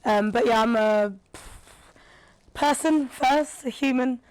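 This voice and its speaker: Woman, guest on a chat show, a bit raspy today, higher voice, dry close mic. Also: takes it slowly, dry recording, low-quality recording. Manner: chatty, thoughtful, with frequent fillers. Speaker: guest, rapper and radio presenter. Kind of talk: casual podcast conversation.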